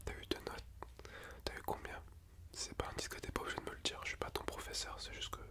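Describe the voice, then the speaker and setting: Whispering man in an ASMR nurse roleplay. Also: fast talker. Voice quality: soft unvoiced whisper. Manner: gentle, reassuring, chatty and rambling. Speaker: ASMR creator playing a school nurse.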